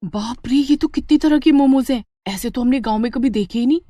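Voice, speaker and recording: A woman's voice: high voice, fairly quick, dark tone, no room sound, pitched above the performer's own voice. Lady doing a young village girl, chatty, eager, exclaims a lot, storybook, dry sound. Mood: amazed. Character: lively, food-loving young village women friends.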